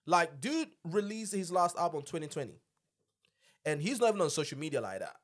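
A man's voice: on the high side, sing-song, a little fast. A man, chatting casually and emphatically as a podcast host, full of slang, on a close studio mic. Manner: emphatic, slangy, repeats tags like "you know what I'm saying". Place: small indoor home-style podcast studio. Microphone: close boom-arm podcast microphone with headset.

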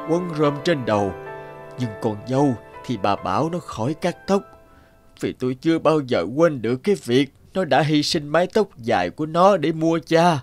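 A man's voice: a little high, lightly acted. A man acting a stern old father. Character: stern old father, testing and finally kind. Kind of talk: Vietnamese animated folk tale dialogue.

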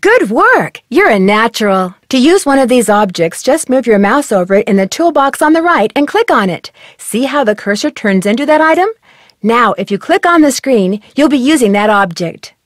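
A woman's voice: a little high, big pitch swings, normal speed, dry recording, clean studio sound. A young woman voicing a curious, upbeat female detective who guides the player in a friendly, instructive way. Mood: cheerful. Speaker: game narrator and guide. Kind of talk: video game voice acting.

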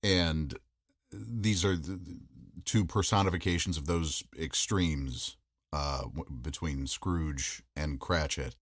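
A man speaking as a podcast guest, reflecting in a measured, thoughtful way with pauses mid-sentence. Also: very deep voice, dry recording, clean studio sound. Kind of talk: reflective interview talk.

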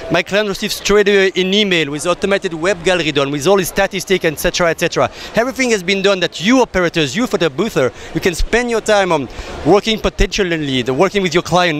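A man's voice: sits high, a bit bright, a little hiss or hum. A man in his thirties from Belgium, with a Belgian accent, giving an eager, persuasive product demo on a trade show floor into a handheld mic. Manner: eager, persuasive, slightly non-native phrasing. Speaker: exhibitor demonstrating a photo booth. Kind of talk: energetic product demo and sales pitch. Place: crowded trade show hall, indoors. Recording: crowd chatter from a busy expo floor.

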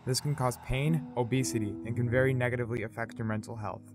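A man's voice: medium pitch, fairly even intonation, quick pace, music in the background. A man reading a scripted public service announcement as an announcer.

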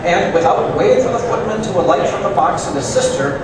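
Man as a cool Victorian sleuth, high-set male voice, formal, dry wit, stage radio play, distant echoey recording. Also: expressive, brisk, a loud crowd. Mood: wry alarm. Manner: wry, formal, theatrical. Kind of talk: old-time radio play performed live from scripts. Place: live stage in a dim hall before an audience. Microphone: stage mic through speakers, picked up by far camera. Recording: echoey hall from far off, audience noise.

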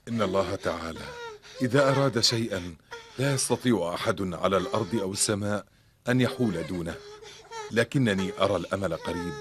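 A low-voiced, tender middle-aged father in a cartoon dub. He speaks with earnest, devout feeling and widely moving intonation, over a noisy old soundtrack. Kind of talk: Arabic cartoon dubbing.

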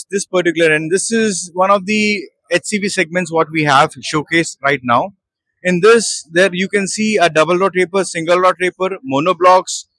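A man in his thirties with an Indian English accent, a company director walking an interviewer through his products at an exhibition stall and pausing with fillers as he goes. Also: high voice, bright, forceful tone, dry recording, poor audio. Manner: hesitant, with many fillers and restarts. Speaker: managing director at a trade show. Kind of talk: guided product walkthrough. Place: busy exhibition hall stall.

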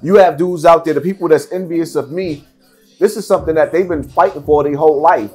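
A man's voice: a little high, brisk, mellow, breathy edge, faint music behind. A middle-aged man giving blunt, emphatic interview answers, repeating phrases for stress. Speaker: podcast guest. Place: seated at table before branded backdrop, indoors.

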